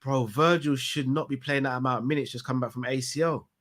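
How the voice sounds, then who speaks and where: A man's voice: medium pitch, steady tone, fast, fairly bright tone. A man hosting a live call-in chat, reading out viewer messages and laughing often. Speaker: fan channel host.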